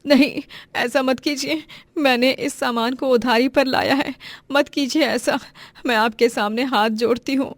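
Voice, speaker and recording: A woman's voice: high-pitched, moderate pace, no room sound, voice pushed up. A woman voicing a poor, devout, protective mother in a story, with an emotional, pleading manner. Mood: desperate pleading.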